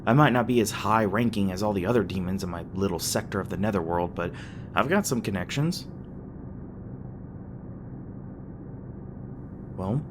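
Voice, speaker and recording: A man's voice: on the low side, natural voice. A man playing a teasing, crass but caring demon boyfriend in a conversational audio roleplay, talking casually with some hesitation. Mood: upbeat, candid. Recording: clearly noisy background with ambient sound effects.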